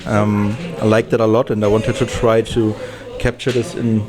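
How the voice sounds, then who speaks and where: A man speaking casually as an interviewed film director, halting and often searching for words. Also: on the low side, little pitch movement, over music.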